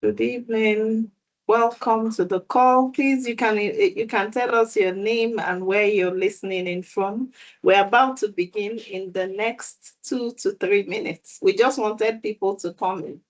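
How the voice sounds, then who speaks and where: A woman speaks slowly and deliberately as she hosts an online exam prep session. Her voice sits at a middle pitch, and her manner is welcoming and measured over a video call. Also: steady tone, poor audio.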